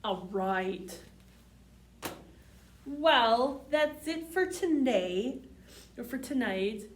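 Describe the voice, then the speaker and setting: Female children's storyteller: sing-song, lively pitch, unhurried, recorded in a roomy space. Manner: sing-song, addressing children with simple questions. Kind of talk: storybook read-aloud for young children. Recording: roomy, distant microphone.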